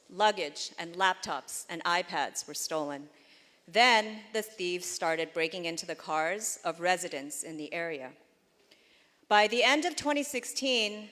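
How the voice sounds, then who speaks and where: A woman's voice: mid-range voice, fairly bright tone, big-room sound. Woman reading an earnest tribute. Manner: measured, earnest reading with small restarts. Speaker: speaker giving a tribute. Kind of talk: read prepared remarks.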